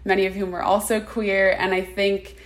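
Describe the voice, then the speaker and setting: A woman in her twenties, speaking as an interview guest in an earnest, quick way over a video call. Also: mid-range voice, level tone. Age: 20s. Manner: earnest, fast-talking. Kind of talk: casual interview answers.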